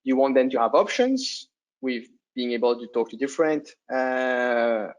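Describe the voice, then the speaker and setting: A man's voice: mid-range voice, animated, a little slow, dull audio. A man with a non-native English accent presenting a company webinar to solar installers, talking conversationally, often tagging sentences with "right?" and restarting phrases.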